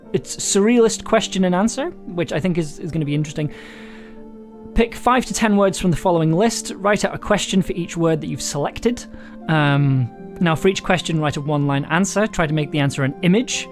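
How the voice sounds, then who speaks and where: A live streamer and audio drama writer chatting casually with viewers and reading writing prompts aloud, full of fillers and restarts and quick to laugh. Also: mid-range voice. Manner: hesitant, many fillers and restarts, laughs easily.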